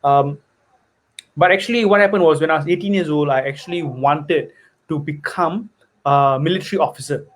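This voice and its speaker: Earnest man, fairly high voice. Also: moderate pace. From Singapore. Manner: earnest, peppers talk with "right?" and "you know". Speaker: guest investor. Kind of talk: personal story in conversation.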